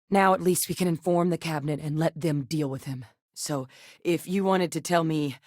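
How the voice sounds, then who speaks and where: Composed female game scientist, measured, matter-of-fact, lowish pitch, dry studio sound. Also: no echo. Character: composed, capable female scientist, blunt and practical. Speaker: scientist working alongside the captain. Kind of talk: game character voice lines.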